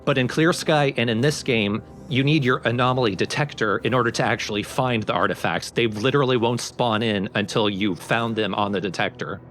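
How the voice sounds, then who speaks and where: A man's voice: medium pitch, fairly quick, hardly any pauses, a bit bright. A man giving casual, explanatory commentary over a video game, talking informally and step by step. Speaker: let's play commentator. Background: game music under the voice.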